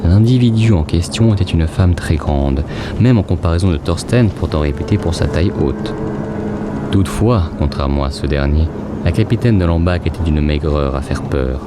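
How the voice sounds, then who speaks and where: A man's voice: sits low, expressive, normal speed, very noisy. A man narrating a scripted fantasy audio drama in a literary, ominous storytelling manner.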